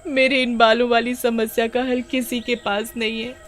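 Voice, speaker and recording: A woman voicing a sensitive, naive village girl with plaintive, expressive delivery in an animated story. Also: fairly high pitch, normal speed, faint music behind, voice pushed up, lightly acted. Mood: despairing.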